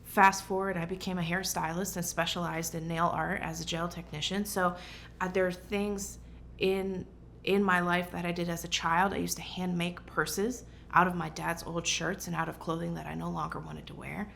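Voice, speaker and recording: A woman's voice: lowish voice, flat delivery, normal speed, gravelly, a bit bright, slight background noise. A woman who grew up in Portugal, speaking earnestly and thoughtfully as a brand founder and designer in an interview. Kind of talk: reflective interview answers.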